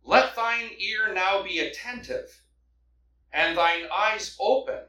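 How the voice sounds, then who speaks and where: A man from Canada preaching, praying and reading scripture aloud with a loud open-air preaching voice, standing back from the microphone. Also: high-pitched, takes it slowly, slight background noise. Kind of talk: sermon with prayer and scripture reading. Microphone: microphone held back from the mouth. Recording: echoey hall, mic at a distance.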